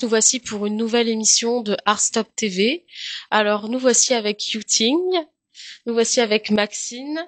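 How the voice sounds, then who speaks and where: A woman's voice: on the high side, no room sound. Female host, dry close sound. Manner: brief, prompting questions. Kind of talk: interview hosting.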